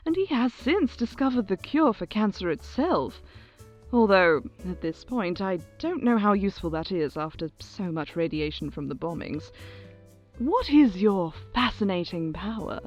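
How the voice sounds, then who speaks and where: A woman's voice: mid-range voice, muffled recording. A woman playing a regal, self-adoring overlord who hosts her own broadcast in a theatrical, condescending, grandly gracious way in an audio drama. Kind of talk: audio drama acting as a radio show host.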